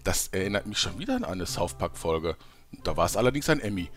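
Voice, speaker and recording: An actor playing a sardonic commentator in a comedy fantasy audio drama. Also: male voice, sits low, sing-song, quick pace.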